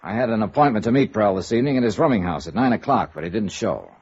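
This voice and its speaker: Male noir investigator: low, very animated delivery, dry and sardonic, always probing. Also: fast, husky. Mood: matter-of-fact. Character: wry, probing male freelance insurance investigator. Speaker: radio drama lead actor. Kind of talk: 1950s radio noir detective acting. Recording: muffled, hissy vintage radio recording.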